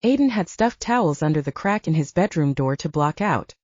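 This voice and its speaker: A woman's voice: sits low, animated, brisk, darkish tone, dry recording. A woman reading a novel aloud as scripted audiobook narration, in a slightly dull recording.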